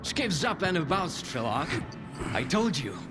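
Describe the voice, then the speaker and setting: A man's voice: on the high side, big pitch swings, a little slow, over loud music. An actor voicing a wry, teasing male stalker in a video game. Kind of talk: video game cutscene acting.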